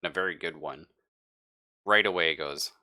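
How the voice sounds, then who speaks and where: Bright, low-voiced man running a game. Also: moderate pace. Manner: casual, hesitant thinking aloud, swearing. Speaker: game master. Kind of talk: improvised narration of a tabletop roleplaying game. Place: live online stream.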